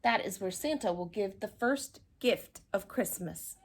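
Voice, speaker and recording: A woman voicing a brisk male train conductor who calls children aboard in a storybook. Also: medium pitch, animated. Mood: informative.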